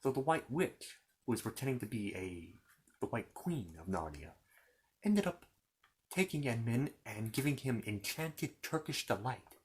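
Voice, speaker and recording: A man with a mid-pitched voice works as an ASMR storyteller, retelling a children's fantasy tale. He improvises as he goes, circling back over phrases and slipping in little asides. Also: big pitch swings, a little slow, poor audio.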